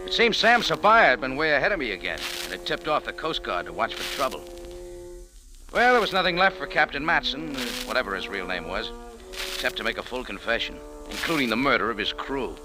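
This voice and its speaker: An actor playing a tough, sardonic American café owner in an old-time radio adventure, with clipped, commanding delivery. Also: male voice, medium pitch, big pitch swings, brisk, music in the background, lightly acted. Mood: wry narrating. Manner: hard-boiled, clipped, commanding. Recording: noisy old radio drama recording.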